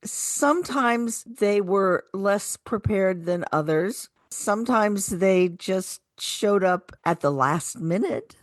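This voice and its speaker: A woman's voice: medium pitch, lively intonation, slow, few pauses, no room sound, studio quality. A woman co-hosting a radio show, speaking in a casual, chatty style. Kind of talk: casual radio chat with some program introductions.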